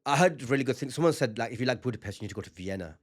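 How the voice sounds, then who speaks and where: A man's voice: medium pitch, expressive, very fast. A man hosting a comedy podcast, chatting casually in a playful, teasing, boastful way. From England. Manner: playful, teasing, self-aggrandizing. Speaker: podcast host, stand-up comedian.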